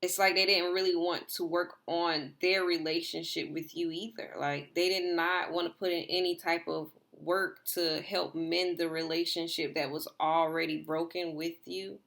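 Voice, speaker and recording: A slightly hoarse woman talks her viewers through a tarot spread in a loose, rambling way, saying "like" again and again. Her voice sits low and carries a gravelly fry. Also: little pitch movement, moderate pace, hardly any pauses, fairly bright tone. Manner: rambling, repeats herself, filler "like". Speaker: tarot reader. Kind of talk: casual tarot reading to viewers.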